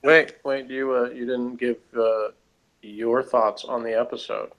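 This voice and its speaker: A man's voice: medium pitch, flat delivery, measured pace, fairly bright tone, poor audio. Middle-aged man, rambling conversational talk. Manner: conversational, rambling, self-qualifying. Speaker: podcast host. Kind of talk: casual review discussion.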